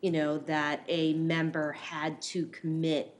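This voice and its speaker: A woman advising a board at a public meeting, explaining in a measured, hesitant way. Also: deep voice, monotone. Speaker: school official advising the board. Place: public meeting room, indoors. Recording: noisy meeting room recording.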